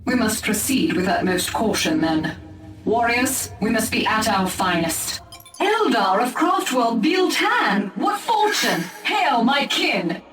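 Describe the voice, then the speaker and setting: A woman's voice: a little high, big pitch swings, slow pace. A woman voicing a solemn, commanding elf-like alien war leader in a video game, with formal, grave speech. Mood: proclaiming. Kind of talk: video game cutscene acting. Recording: echoey, distant, under loud music.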